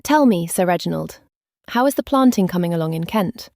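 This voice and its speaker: A woman's voice: lowish voice, expressive, fairly quick, a little mellow, no room sound, studio quality. An actress playing a controlling, proper elderly society grandmother in a period audio drama, speaking formally and with command. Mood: politely social.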